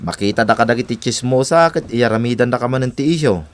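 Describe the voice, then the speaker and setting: An actor playing a concerned older brother in an Ilocano radio drama, with a Filipino accent. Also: male voice, mid-range voice, quick pace, a little hiss or hum, close to an everyday voice. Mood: wary.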